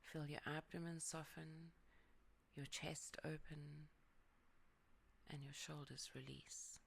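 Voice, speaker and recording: A yoga nidra guide leading a calm relaxation meditation, speaking softly and often sinking into a whisper. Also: mid-range voice, slow, creaky, a little hiss or hum.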